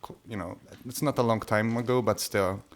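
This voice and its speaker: Hungarian-native man, low creaky voice, halting podcast chatter. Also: steady tone. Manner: hesitant, many restarts and fillers. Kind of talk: casual conversation.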